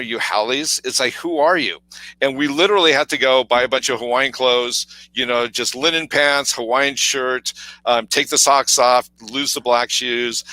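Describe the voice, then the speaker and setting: Male podcast co-host, deep authoritative tone, casual storytelling with many fillers, dry recording. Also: sharp tone, no echo, low-quality recording. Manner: storytelling, acts out quoted voices, many fillers. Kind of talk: casual conversational coaching talk.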